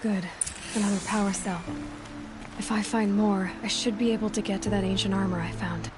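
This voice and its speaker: A woman's voice: mid-range voice, fairly even intonation, fairly quick, loud music behind the voice, lightly acted. A woman playing a self-reliant young adventurer in a video game, musing to herself in a wry, determined way. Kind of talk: game character's exploration asides.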